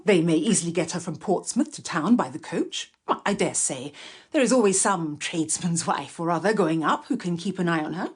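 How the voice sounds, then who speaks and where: Casting: self-righteous genteel English busybody aunt, middle-aged, lowish and husky, officious, period radio drama. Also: female voice, lively intonation, brisk. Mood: officious, insistent. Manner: officious, persuasive, wordy.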